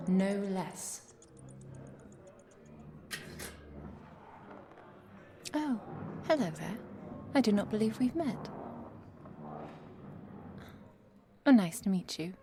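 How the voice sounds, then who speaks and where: A woman playing a composed, caring professor and vampire hunter in an ASMR roleplay, speaking softly and precisely, often near a whisper. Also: medium pitch. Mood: polite, brisk. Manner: measured, precise, softly commanding.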